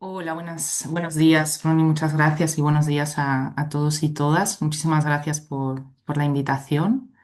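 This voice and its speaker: A woman from Spain speaks with a Spanish accent in a low, grounded voice. She gives an online conference presentation through a computer mic on a video call, explaining things in a friendly, chatty way. She keeps checking in with her listeners as she goes. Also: steady tone, moderate pace, hardly any pauses, a little mellow. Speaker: invited speaker, legal expert.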